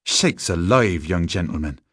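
A man's voice: sits low, moderate pace, no echo, studio quality, close to an everyday voice. A man voicing an old country shepherd with a rural English accent, grave and plain-spoken, giving folksy, emphatic warnings in a storybook reading. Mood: startled.

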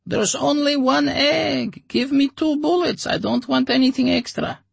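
A man voicing a stubborn, scruffy bearded little male egg seller, in a high, drawn-out, insistent voice. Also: creaky, no room sound, full character voice. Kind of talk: audiobook character voice.

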